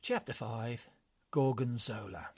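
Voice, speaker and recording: A man reading a children's story aloud in an expressive, measured, dramatic way. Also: mid-range voice, husky. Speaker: story reader.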